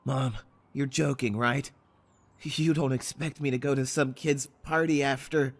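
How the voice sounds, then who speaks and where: A man's voice: mid-range voice, fairly even intonation, a little slow. An actor playing a guilt-ridden, troubled teenage boy who pleads and stammers in a horror audio drama. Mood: groggy disbelief.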